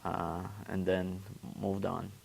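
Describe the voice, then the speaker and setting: A young man from Uzbekistan speaks English, his fourth language, in a low, gravelly voice. His delivery stays flat and unhurried, with frequent restarts and repeated words. He is a guest answering questions on a local show. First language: Turkish. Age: 20s. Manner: halting, repeats words while searching for phrasing. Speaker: guest, former student athlete. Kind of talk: casual interview answers.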